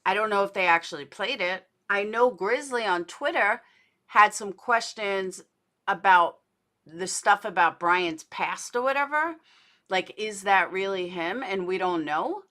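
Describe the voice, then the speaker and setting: A woman's voice: mid-range voice, lively intonation, measured pace, pushed and bright. A woman giving casual livestream commentary in a blunt, indignant, sweary manner, as host of a true crime show.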